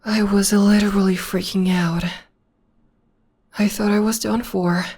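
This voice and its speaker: A woman playing a gentle, loving witch girlfriend in an intimate ASMR roleplay, speaking softly and tenderly in a breathy half-whisper. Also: medium pitch, little pitch movement. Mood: fond, reminiscing. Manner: tender, slow, soothing. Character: gentle, loving witch girlfriend comforting her demon partner.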